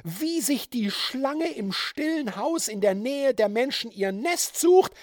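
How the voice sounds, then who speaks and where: A man voicing a wild, vengeful woman who lashes out in scornful, high-pitched outbursts in an audiobook reading. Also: animated, slow pace, no echo, studio quality, heavily acted. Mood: raging. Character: wild, vengeful woman, mocking and half-mad.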